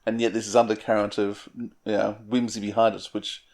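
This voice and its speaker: A man's voice: on the low side, little pitch movement, fairly quick, a little mellow. A man speaking as an interviewer, in a conversational style with analytical, exploratory phrasing.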